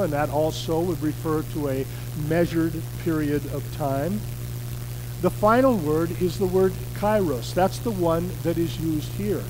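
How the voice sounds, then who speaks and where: A middle-aged American man preaching a sermon from a church pulpit, in an earnest, measured way with emphatic stresses. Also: high-pitched, noisy background. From United States. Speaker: pastor. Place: church sanctuary, indoors. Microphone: podium mic on a stand.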